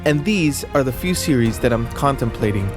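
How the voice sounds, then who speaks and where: A man's voice: medium pitch, expressive, a little fast, music in the background. A man hosting a book channel, chatting casually and rambling with lots of filler words. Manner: rambling, many "kind of" fillers. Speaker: booktube channel host. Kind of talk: casual chat to camera.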